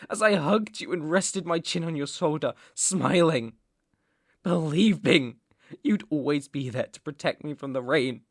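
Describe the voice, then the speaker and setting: A man with a British accent playing a possessive, unstable mafia boss in an ASMR roleplay, brooding and deliberate, with menacing tenderness. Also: high voice, no room sound, studio quality. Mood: wistful, brooding. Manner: slow, deliberate, brooding, condescendingly affectionate. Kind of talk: ASMR roleplay, dramatic monologue.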